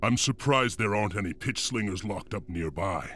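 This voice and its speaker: A man playing a defiant, commanding leader of an enslaved fantasy clan in a video game, rough-voiced and resolute. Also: fairly low pitch, expressive, moderate pace, fairly bright tone, slight background noise. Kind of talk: dramatic video game cutscene acting.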